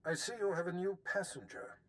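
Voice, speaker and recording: An actor playing a powerful, smugly commanding older Sith master in a video game, speaking formally and with measured pomp. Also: male voice, high-pitched, a bit bright, rough recording. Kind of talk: scripted game dialogue.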